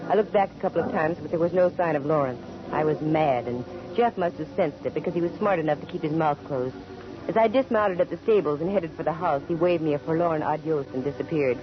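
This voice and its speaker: A woman's voice: low voice, quick pace, lightly acted. An American woman playing a witty, assertive private detective with dry humor and a self-assured manner, in 1940s radio drama style. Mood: wry narration. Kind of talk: 1940s radio drama acting and first-person narration. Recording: dull lo-fi 1940s radio recording.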